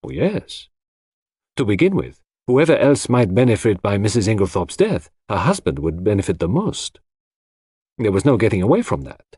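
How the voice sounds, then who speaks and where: A shrewd foreign detective in a mystery audiobook, acted out by a male narrator, lecturing with great self-assurance. The voice is husky and theatrical, leaping up and down as he patiently lays out his reasoning, in a dry recording. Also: fairly low pitch, very animated, normal speed, dark tone, dry recording. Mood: explaining, assured. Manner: theatrical, didactic, sprinkles French phrases.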